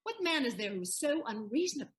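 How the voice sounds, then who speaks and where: A woman playing a proud, sharp-witted wife in a Shakespearean audio play, teasingly indignant in declamatory verse. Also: high-pitched, very animated, fast, a little hiss or hum. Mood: indignant, accusing.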